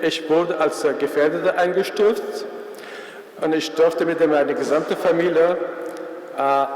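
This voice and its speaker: Afghan man, slow, halting testimony, long church reverb. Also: fairly high pitch, steady tone, darkish tone. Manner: halting, searching for words, many fillers. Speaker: former military interpreter giving testimony. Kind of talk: personal account at a concert event. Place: large church. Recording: echoey church hall from far off.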